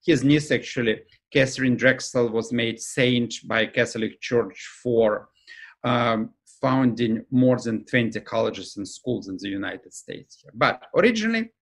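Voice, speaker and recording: A middle-aged man from Ukraine with a Ukrainian accent, giving a remote academic lecture over slides on a webcam, fluent but dropping articles and pausing with "uh". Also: mid-range voice. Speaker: professor and lecturer. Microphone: video call webcam.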